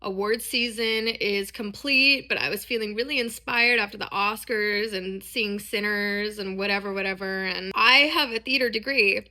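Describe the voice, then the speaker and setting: A woman in her thirties speaks in a bright, crisp-edged voice at a medium pitch. She sounds congested from a sore throat. Her delivery is casual and candid, with a self-deprecating, confiding vlog style. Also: normal speed, hardly any pauses. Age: 30s. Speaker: dance and performance vlogger. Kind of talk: casual, chatty personal vlog.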